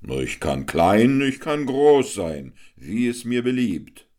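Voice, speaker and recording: A man's voice: medium pitch, very animated, slow pace, darkish tone, voice pushed up. A man voicing a boastful male devil who brags he can change his shape. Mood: smug.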